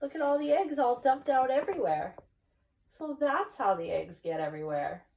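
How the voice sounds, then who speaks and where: A woman's voice: sits high, lively intonation, moderate pace, vocal fry, darkish tone, distant mic, slight background noise. A woman reading a children's picture book aloud expressively, with chatty asides to young listeners. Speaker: story time reader, teacher.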